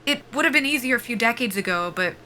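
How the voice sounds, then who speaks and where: An actress playing a guarded young soldier-pilot at a job interview in an audio drama, politely formal and clipped, with dry humor. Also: female voice, fairly high pitch, lively intonation, fast talker, pushed and bright, a little hiss or hum. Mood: guarded, hesitant. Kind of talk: naturalistic audio drama acting.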